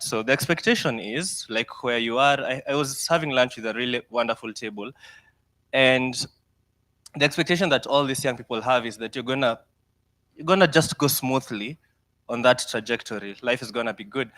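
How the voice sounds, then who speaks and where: A young Kenyan man, a startup founder, giving a lively, self-deprecating mentorship talk to students with slides. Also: medium pitch, no echo. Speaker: startup founder guest speaker.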